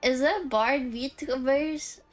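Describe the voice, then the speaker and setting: A woman streams a game with casual, musing commentary and joking asides. Her voice is fairly high and she takes her time between thoughts, with faint music behind her. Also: slow pace. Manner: musing, jokey.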